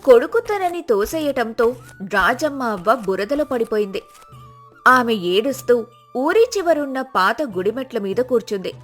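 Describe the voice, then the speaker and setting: High-voiced woman storyteller over music. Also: expressive, measured pace. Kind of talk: scripted moral-story narration.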